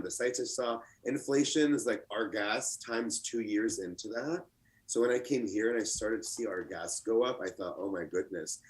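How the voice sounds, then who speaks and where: Lebanese-born guy in his 30s, low voice, laid back, lots of ums and you knows. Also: rough recording. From Lebanon, raised in the US. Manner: relaxed, rambling with many fillers. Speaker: artist contestant. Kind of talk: casual chat.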